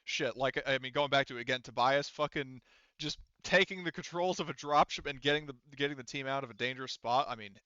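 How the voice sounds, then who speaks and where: A man acts as game master in casual, animated table talk. His voice is smooth and clear at a middle pitch. The recording is close and dry but a little dull. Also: quick pace, no echo.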